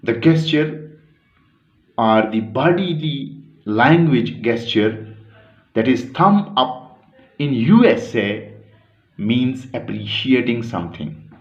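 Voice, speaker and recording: Slow, deliberate male lecturer. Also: medium pitch, expressive. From Pakistan. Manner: slow, deliberate, with pauses and self-corrections. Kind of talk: academic lecture. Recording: echoey room, dull lo-fi sound.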